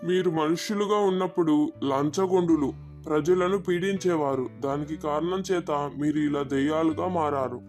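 A man's voice: a little high, moderate pace, a little mellow, faint music behind, lightly acted. A man playing a stern holy man who gives counsel in a moral story. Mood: stern, advising.

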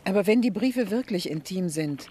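A woman's voice: medium pitch, expressive, moderate pace, warm tone, slight background noise, lightly acted. An actress playing a worldly, shrewd, teasing lady friend with a wry, knowing manner in a German radio drama. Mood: wry, sceptical.

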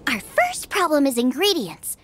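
A woman's voice: sits high, huge pitch swings, normal speed, fairly bright tone, full character voice. A woman voicing eager, bubbly young girl characters in an anime fantasy video game, with a cutesy, exclamatory delivery. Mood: brisk, decisive. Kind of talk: animated video game voice acting.

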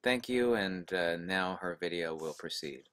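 Low-pitched, effusive American man. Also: measured pace, a bit bright, clear, resonant tone. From United States. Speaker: video host. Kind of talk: casual spoken introduction.

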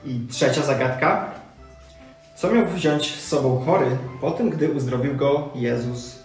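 A man's voice: medium pitch, a little slow. A man hosts a scripted quiz for children. His voice sounds distant from the mic in a heavily reverberant space, with faint music underneath.

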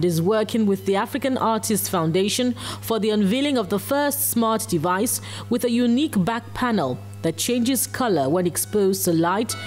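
Woman reporter narrating over music. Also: mid-range voice. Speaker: news reporter doing voice-over. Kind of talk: scripted narration.

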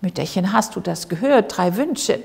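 A woman voicing a kindly, humble, pious old man in a fairy tale, gentle and deferential. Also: medium pitch, expressive, moderate pace, vocal fry. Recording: echoey hall with long reverb.

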